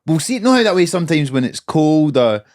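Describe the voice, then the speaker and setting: A man's voice: on the high side, very animated, fairly quick, a little mellow. Scottish man bantering with mates. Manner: laughs often, chatty, asks questions. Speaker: podcast co-host. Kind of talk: casual banter.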